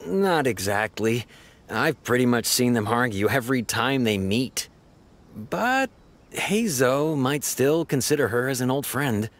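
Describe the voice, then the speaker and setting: A man's voice: mid-range voice, close to an everyday voice. A man playing a good-natured, chatty police officer in a video game, speaking in a casual, confiding way. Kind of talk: video game character acting. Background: music.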